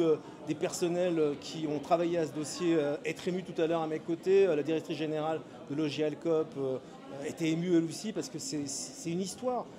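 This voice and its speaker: A man, a local mayor, giving a measured press statement with frequent hesitations and filler pauses. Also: a little high.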